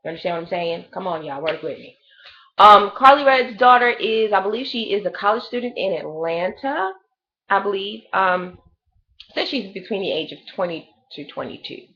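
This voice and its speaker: A woman's voice: medium pitch, animated, normal speed, highs cut off. A woman in her late thirties giving a blunt, profane, rambling gossip review of a reality show in a casual chat. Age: 30s.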